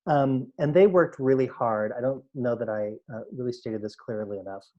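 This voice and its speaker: A man's voice: mid-range voice, moderate pace, muffled recording. A man explaining emphatically at a board meeting over a video call, with many fillers. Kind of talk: spoken remarks at a board meeting.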